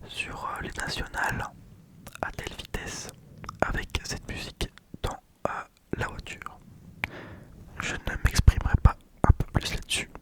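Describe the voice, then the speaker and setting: A young adult vlogger whispering a rambling vinyl haul late at night, tired and often correcting themselves. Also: measured pace.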